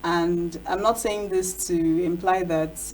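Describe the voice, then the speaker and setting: A woman's voice: lowish voice, fairly even intonation, measured pace, a little hiss or hum, rough recording. A Nigerian woman whose first language is Igbo, talking reflectively in a studio interview and often saying "you know". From southeast Nigeria. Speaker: interview guest, cultural storyteller. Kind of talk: casual interview talk.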